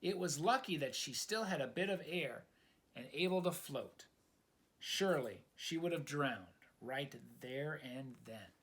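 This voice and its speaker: A man reading a children's story aloud in an expressive, dramatic storytelling style. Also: fairly high pitch, unhurried. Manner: dramatic, asks the listener questions.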